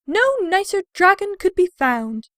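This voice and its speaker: A woman's voice: very high voice, very animated, a little slow, fairly bright tone, no room sound, clean studio sound, full character voice. A woman voicing an elegant, doting young female pony with refined, theatrical manners in an audio drama. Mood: delighted. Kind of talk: scripted audio drama character acting.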